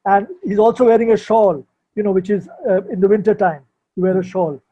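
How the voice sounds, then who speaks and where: A man's voice: high voice, steady tone, fairly quick, dark tone, dull audio. An older man, explaining things informally and hesitantly, often repeating himself as he searches for words. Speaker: poet and sculptor, guest at a book launch. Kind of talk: informal explanation at an online book launch.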